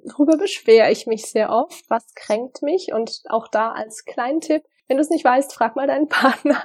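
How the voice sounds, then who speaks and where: Earnest German woman sharing relationship advice. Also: a little high. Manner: earnest, reflective, many fillers and pauses. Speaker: vlogger giving relationship advice. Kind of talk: casual direct-to-camera advice talk.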